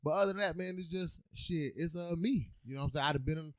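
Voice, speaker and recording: A man's voice: a little high, quick pace, no echo, studio quality. A man co-hosting a casual podcast chat, laid-back and joking, who often says "you know what I'm saying.". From Ohio. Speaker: podcast co-host.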